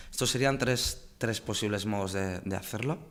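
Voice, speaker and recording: A man's voice: on the low side, a little slow, a bit bright. A man presenting in a webinar, explaining language settings for websites with many fillers and restarts, over a video call. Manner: frequent fillers and self-corrections. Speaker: webinar presenter on web setup. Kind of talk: hesitant technical explanation.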